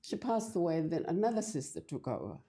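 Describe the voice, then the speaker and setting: An older woman from Fiji, talking in a halting, hesitant way as a guest in a casual church interview. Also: fairly low pitch, big pitch swings, warm tone. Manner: hesitant, halting with many restarts.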